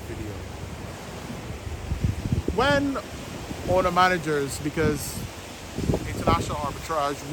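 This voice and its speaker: Heavy wind and sea noise surrounds a man in his fifties with a slightly high voice. He explains things informally to camera from outdoors. His delivery is deliberate, broken into short phrases with pauses. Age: 50s. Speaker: vlog host, business owner. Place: outdoors by the sea, west coast of Barbados. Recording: loud wind noise on microphone.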